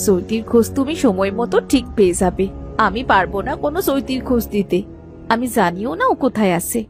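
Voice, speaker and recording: A woman's voice: a little high, expressive, brisk, lightly acted. A woman playing a guarded, nervous village sister-in-law in a cartoon story, speaking in a chatty but evasive way. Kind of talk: dramatized Bengali cartoon story dialogue. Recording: music behind the voice.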